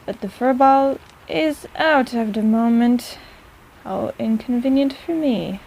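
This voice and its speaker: Casting: actress as chatty, fond, teasing female apothecary for intimate audio roleplay; soft-edged warm tone, slightly high pitch. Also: creaky, slight background noise, rough recording. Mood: chatty, upbeat. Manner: chatty and rambling, halting, gently teasing. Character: warm, teasing, flustered young woman apothecary shopkeeper, caring and rambling. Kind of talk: intimate personal-attention audio roleplay, speaking to the listener.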